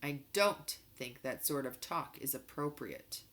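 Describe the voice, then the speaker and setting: A stern, patient mother in a children's novel, as a woman reads her aloud. The voice is bright and she corrects firmly. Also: extremely low pitch, unhurried. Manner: firm, admonishing.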